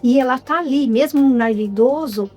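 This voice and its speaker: Earnest, high-voiced Brazilian woman. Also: normal speed, dark tone, faint music behind. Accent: Brazilian Portuguese. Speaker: interview guest, writer and filmmaker. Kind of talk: casual interview chat.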